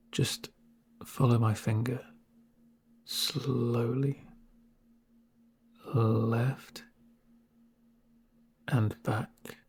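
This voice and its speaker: A man playing a calm, reassuring medic with dry humour in a soft-spoken, hushed ASMR roleplay. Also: mid-range voice, creaky, slightly rough, full character voice. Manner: unhurried, soothing, deadpan asides. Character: calm male corporate medic, gentle, reassuring, drily sardonic. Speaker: medic caring for a patient.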